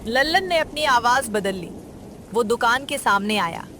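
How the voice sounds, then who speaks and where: A woman's voice: fairly high pitch, animated, unhurried, a bit bright, slight background noise. A woman narrator reading a scripted children's story. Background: music under the voice.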